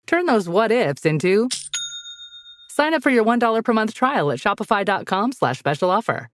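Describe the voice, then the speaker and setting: A woman's voice: mid-range voice, big pitch swings, normal speed, no echo, studio quality. A woman announcer reading a scripted advertisement. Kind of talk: scripted ad read.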